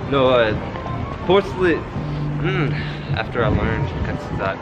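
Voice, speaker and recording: A man's voice: mid-range voice, lively intonation, rough recording. Young american guy, english native, stumbling through russian, music playing behind. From United States. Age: young adult. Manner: halting, searching for words. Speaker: guest, language learner. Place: city street outdoors, then a restaurant.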